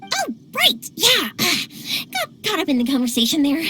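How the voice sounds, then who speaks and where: Excitable, high-voiced chatty young woman. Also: a little slow, noisy background, exaggerated voice. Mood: bright, excited. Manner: talkative, gushing, rambling with flustered self-corrections. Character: cheerful, chatty young woman, fireworks maker, friendly and excitable. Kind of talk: English game voice acting. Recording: game music behind the voice.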